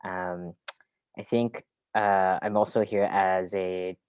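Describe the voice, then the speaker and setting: A very deep, low voice introduces itself on a group video call. The speaker, from Singapore, talks earnestly and thoughtfully, pausing on ums. The sound is dry and close. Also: male voice, level tone, a little mellow, clean tone, no room sound. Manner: earnest, reflective, with frequent ums. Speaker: helpline co-founder.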